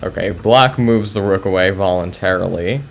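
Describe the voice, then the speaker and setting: A man with a low voice, chatting casually with viewers as a chess streamer, soft-spoken and hesitant with many fillers. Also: normal speed, gravelly, slight background noise. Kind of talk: casual live-stream chat.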